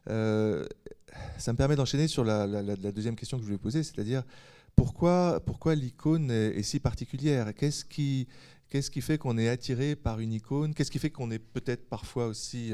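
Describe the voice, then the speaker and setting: A man moderating a panel discussion in a smooth, mellow voice of medium pitch. He asks thoughtful questions, feeling his way and rewording them as he goes.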